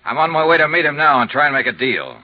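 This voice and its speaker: A man's voice: a little high, lively intonation, quick pace. An American actor playing a tough, matter-of-fact insurance investigator who narrates his case in a 1950s radio drama. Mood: earnest, businesslike. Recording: muffled 1950s radio recording with music.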